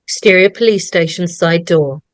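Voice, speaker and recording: A woman's voice: lowish voice, normal speed, dry recording. Woman narrating, close and dry. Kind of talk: scripted narration of stage directions.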